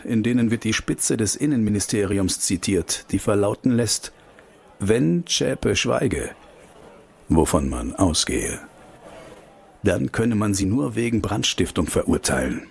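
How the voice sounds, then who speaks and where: A lowish male voice as a determined investigator in a political radio play. He is measured and knowing, disillusioned with the state and drily sardonic. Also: lively intonation, creaky, husky, full character voice. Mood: dry, knowing.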